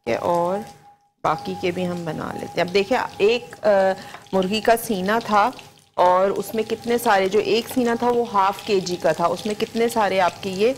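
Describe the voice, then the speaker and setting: A woman's voice: mid-range voice, unhurried, a little hiss or hum. A woman in her forties with a Pakistani Urdu accent, a TV chef patiently walking viewers through a recipe step by step in a studio kitchen, on a lapel mic. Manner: patient step-by-step instruction, repeats each ingredient. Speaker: TV cooking show chef. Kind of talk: live TV cooking demonstration.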